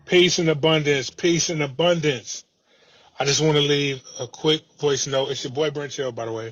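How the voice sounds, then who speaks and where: A man's voice: a little high, fairly even intonation, normal speed, no room sound. A man leaving an informal voice note for a podcast, recorded in lo-fi phone sound.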